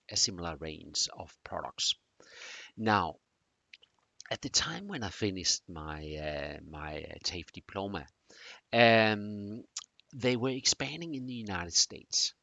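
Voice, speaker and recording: A middle-aged man from Denmark with a Danish accent, introducing a course in a halting way with many fillers. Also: deep voice, vocal fry, bright, forceful tone, no echo. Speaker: teacher.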